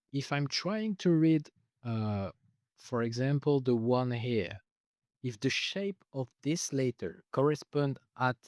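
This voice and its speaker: A man with a delivery talks through software he built. He pauses often with filler sounds and restarts his sentences. His middle-pitched voice, a little worn, sits close and dry with no room sound. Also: no echo. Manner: hesitant, many filler uhs, restarts. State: losing his voice. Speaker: software developer explaining his tool. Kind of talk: casual screen-recorded walkthrough.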